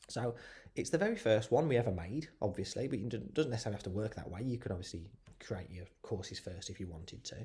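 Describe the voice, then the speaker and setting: A man with a head cold and a slightly nasal voice, walking through software on screen in a relaxed way and often correcting himself. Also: medium pitch. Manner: relaxed, self-correcting, lots of fillers. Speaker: software tutorial presenter. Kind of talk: screen-share software walkthrough.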